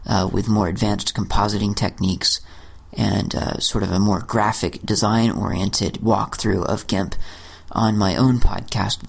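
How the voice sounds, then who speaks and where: A man closing a guest software tutorial podcast, explaining in a relaxed, instructive way. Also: on the low side, creaky, husky.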